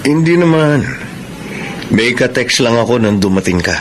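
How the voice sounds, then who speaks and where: An actor with a Filipino accent playing an evasive husband and property agent in a radio drama, hesitant and stalling. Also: male voice, medium pitch, animated, moderate pace, lightly acted. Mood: defensive, casual. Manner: hesitant, stalling when questioned. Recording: background noise with ambience.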